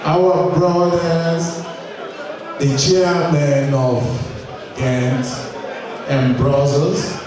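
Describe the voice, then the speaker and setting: A man giving a formal welcome address at a community gala, in slow, measured phrases with long pauses. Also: fairly high pitch, flat delivery. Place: large hall at a community gala. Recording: echoey hall, distant mic, crowd chatter.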